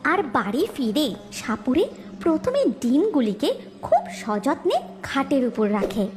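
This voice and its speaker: A woman's voice: sits high, sing-song, measured pace, a little mellow, faint music behind. A woman giving a scripted narration of a fairy tale cartoon as its storyteller.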